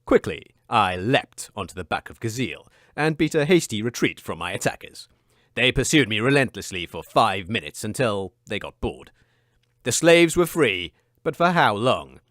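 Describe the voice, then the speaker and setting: Man doing a pompous, low adventure hero. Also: big pitch swings, normal speed, a bit bright, dry recording, clean studio sound. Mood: grandiose. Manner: pompous, dramatic. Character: Roger Cock, self-important heroic male adventurer storyteller. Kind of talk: melodramatic adventure serial narration.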